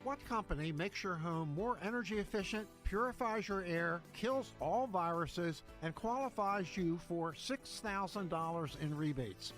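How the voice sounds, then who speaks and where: A man's voice: high voice, fairly bright tone. A man announcing a scripted radio commercial. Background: music bed.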